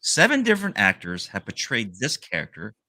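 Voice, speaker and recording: A man's voice: lowish voice, huge pitch swings, brisk, bright, forceful tone, dry recording. A man co-hosting a comic book podcast, reading a scripted sales rundown and joking with his co-hosts. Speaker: podcast co-host.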